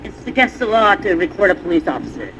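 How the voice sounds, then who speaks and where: A man's voice: high voice, very animated, fast talker, bright, forceful tone. A man who is a police officer, giving orders in a confrontational, commanding way. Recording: muffled camera recording, faint noise.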